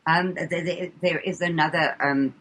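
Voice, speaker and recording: Low husky woman, English-leaning South African accent. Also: steady tone, measured pace, fairly bright tone. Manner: earnest, hesitant with frequent ums and repeated words. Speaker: radio show guest. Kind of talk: casual radio interview chat.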